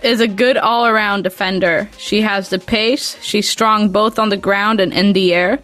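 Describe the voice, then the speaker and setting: A woman's voice: medium pitch, steady tone, moderate pace, fairly bright tone, poor audio. Woman, Swedish accent, podcast host, chatty questions, faint music behind. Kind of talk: casual interview.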